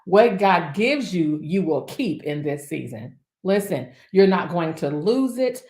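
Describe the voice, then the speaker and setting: A woman's voice: lowish voice, expressive, a little slow, a little mellow. A woman in her fifties, a minister, preaching a decree to online viewers in rhythmic repeated lists with chatty asides. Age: 50s. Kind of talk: livestream prophetic decree. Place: office. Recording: echoey room.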